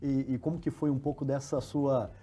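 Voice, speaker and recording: A man's voice: medium pitch, level tone, normal speed, dark tone. A Brazilian man hosting a conversational interview as the interviewer. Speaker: host and interviewer.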